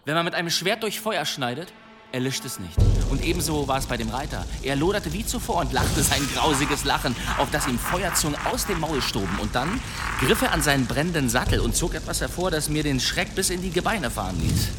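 A man's voice: on the high side, sing-song, a little fast, over loud music. An actor playing a boastful medieval demon hunter, telling his tales in a courtly, suspenseful manner in a German radio drama. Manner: theatrical, suspenseful, courtly delivery.

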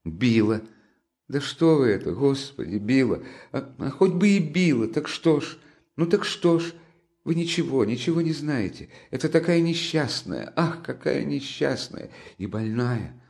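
A man's voice: medium pitch, expressive, unhurried, a little mellow, pitched above the performer's own voice, close to an everyday voice. A man reading an audiobook voices a timid, devout poor young woman who talks in anxious, pleading bursts. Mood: distressed. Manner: pleading, anxious, breathless outbursts.

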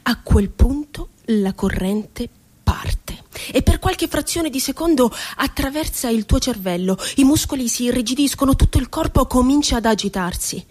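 An actress playing a bitterly ironic psychiatric inmate in a radio theatre monologue, telling her story in a deliberate, dramatic way. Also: sits high, expressive, a little mellow. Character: woman psychiatric inmate recounting asylum horrors, bitterly ironic. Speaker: stage actress.

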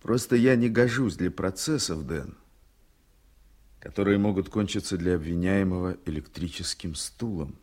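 An actor playing an anxious, conscientious lawyer full of doubts, earnest and troubled, in a radio play. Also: male voice, low voice, normal speed, a little mellow. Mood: worried. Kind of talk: Soviet-style radio play acting.

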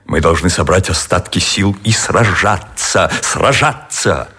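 A man's voice: deep voice, measured pace, sharp tone, slightly rough, exaggerated voice. A man playing a fervent, defiant general in a radio drama, speaking huskily like an orator rallying officers. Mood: fervent, rousing. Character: fervent, defiant general urging a last stand.

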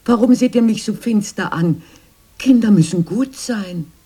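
Anxious grown fairy-tale sister, very husky, soft dark tone, dreamy insistence, breathy whispers, radio play. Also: female voice, mid-range voice, unhurried. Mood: fearful. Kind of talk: German radio drama acting.

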